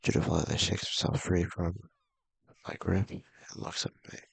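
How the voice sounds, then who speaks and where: A man with a gravelly, husky voice casually reading a visual novel aloud, halting and mumbling with offhand asides. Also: sits low, little pitch movement, dry recording. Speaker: video game streamer.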